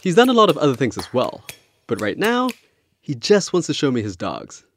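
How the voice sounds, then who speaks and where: Lively male podcast host. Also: a little high, a little fast, slight background noise. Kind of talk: scripted narration with interview chat.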